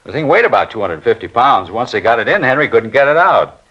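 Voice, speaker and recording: Fast-talking chatty studio hand. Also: male voice, medium pitch, big pitch swings, fairly bright tone, slightly rough. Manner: chatty, rambling storyteller. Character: talkative male film studio worker, friendly witness. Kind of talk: 1950s radio crime drama. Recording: muffled old radio recording.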